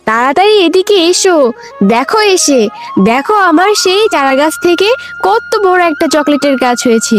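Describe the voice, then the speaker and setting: A high, very animated little girl from a cartoon, acted by a woman. She is excitable and affectionate, childlike in every phrase, with faint music behind her. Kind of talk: Bengali children's cartoon voice acting.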